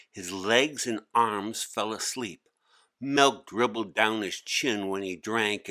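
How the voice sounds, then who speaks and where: A man's voice: fairly low pitch, pushed and bright, no echo, clean studio sound. A man narrating a children's story as a scripted read-aloud. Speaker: author-narrator.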